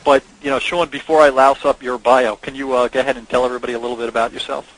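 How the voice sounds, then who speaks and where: A man's voice: medium pitch, level tone, rapid-fire, fairly bright tone, rough recording. A man speaking as co-founder and host on a conference call, giving a conversational, promotional sales presentation. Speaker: company co-founder hosting a presentation. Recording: clear background noise on conference call.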